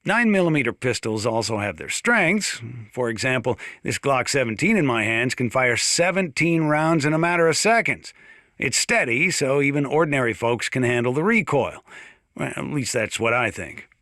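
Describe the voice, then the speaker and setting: An actor playing a gruff, plain-spoken old small-town mountain sheriff with an American accent, talking over the radio in a video game. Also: male voice, mid-range voice, expressive, bright, forceful tone, dry recording, clean studio sound. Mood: folksy, opinionated. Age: older. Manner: folksy, plain-spoken, drawn-out hesitations. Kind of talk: in-game radio broadcast monologue.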